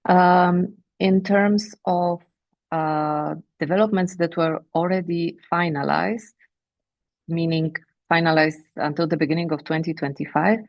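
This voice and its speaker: A woman with a Romanian accent presenting company sales figures on an earnings call, measured and pausing often with fillers. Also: lowish voice, steady tone, dry recording, highs cut off. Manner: measured, with frequent filler pauses. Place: remote teleconference.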